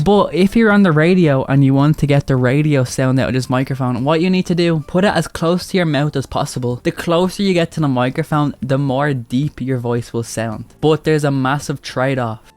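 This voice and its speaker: A man giving casual video commentary as a YouTube host, with animated jumps in pitch for emphasis. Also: dry recording.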